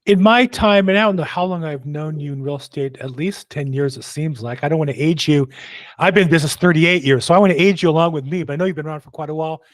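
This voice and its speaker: A man's voice: a little high, fast, no echo. A man hosting a casual interview, chatty and joking, with lots of fillers. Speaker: host.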